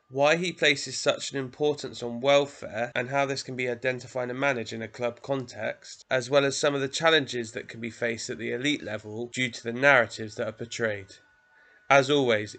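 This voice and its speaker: A man's voice: medium pitch, bright, forceful tone. A man hosting a sports podcast, reading the intro and asking casual, hesitant questions. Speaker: podcast host.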